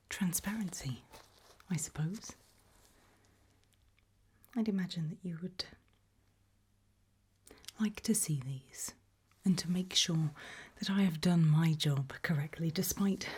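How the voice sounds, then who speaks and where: A British woman doing an ASMR roleplay as a doctor, whispering gently and breathily, with hesitant pauses. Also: sits low, slight background noise.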